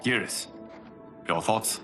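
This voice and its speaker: An actor playing a solemn fire god and protector of a realm in a game, speaking in a measured, formal, grave way. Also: male voice, over music, lightly acted. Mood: solemn, searching.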